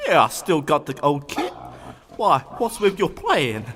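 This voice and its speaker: A man's voice: a little high, huge pitch swings, a little slow, a bit bright, slight background noise, exaggerated voice. A man voicing an easygoing, submissive pig who exclaims agreeably in an animal audio story. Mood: agreeable. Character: excitable, easygoing male pig, submissive family friend.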